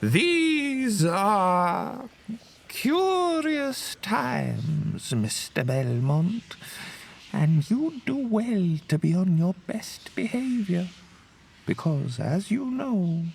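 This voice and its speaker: A man's voice: high voice, big pitch swings, extremely slow, creaky, slight background noise, heavily acted. A man acting a pompous, stern, long-winded professor in a fantasy audio roleplay, pedantic and theatrically emphatic. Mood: stern, suspicious.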